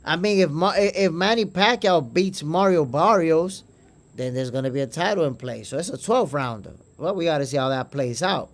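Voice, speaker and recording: A man's voice: on the high side, expressive, normal speed. Chatty Dominican New York man. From Dominican Republic. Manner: chatty, calls viewers "my brother", repeats words. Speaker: boxing podcast host reading live chat. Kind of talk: casual livestream commentary.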